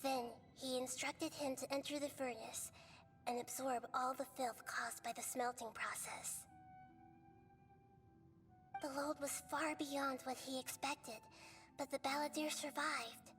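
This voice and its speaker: A woman voicing a gentle, wise young deity in a video game, speaking softly with measured, earnest storytelling. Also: sits high, fairly even intonation, long reverb tail, soft background music. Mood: solemn.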